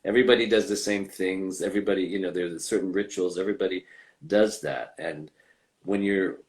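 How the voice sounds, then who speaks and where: A man, a voice actor guest, talking casually with frequent pauses, fillers and restarts. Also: sits low, fairly even intonation. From United States. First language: English. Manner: hesitant, many fillers and restarts. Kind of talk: casual conversational interview.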